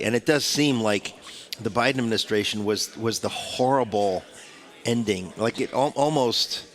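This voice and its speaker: A man's voice: mid-range voice, a bit bright. A man with a cold and a stuffed-up voice, hosting a radio talk show in a rambling, chatty way. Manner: rambling, chatty, many restarts. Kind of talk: casual radio interview chat. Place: radio studio.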